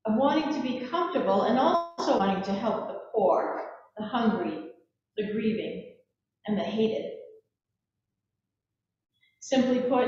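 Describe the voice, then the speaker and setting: An older woman preacher giving a sermon from a church pulpit in measured, deliberate phrases. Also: on the high side, darkish tone. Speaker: Episcopal preacher. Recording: echoey church from far off, muffled, noisy.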